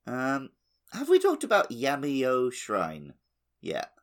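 A man's voice: medium pitch, huge pitch swings, slow. A man co-hosting a podcast, chatting casually through a video game playthrough. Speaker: podcast co-host.

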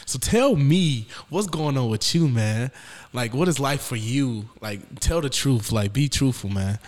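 A young man with a medium-pitched voice co-hosts a casual podcast. He speaks candidly in relaxed slang, addressing his friend directly and informally. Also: measured pace. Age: young adult. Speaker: podcast co-host. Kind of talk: casual chat.

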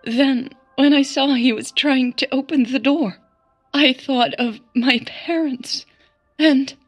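Woman voicing a shaky, frightened woman, higher-pitched and husky. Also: steady tone, faint music behind. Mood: shaken, halting. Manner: halting, shaky. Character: frightened, shaken young woman recounting an attack. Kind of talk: audio drama dialogue.

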